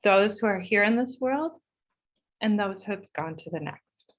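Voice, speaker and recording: A woman's voice: mid-range voice, lively intonation, muffled recording. Heartfelt New woman reading a speech. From United States. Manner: measured and heartfelt, slowing for emphasis. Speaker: board chair. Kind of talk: reading a prepared speech at an online meeting.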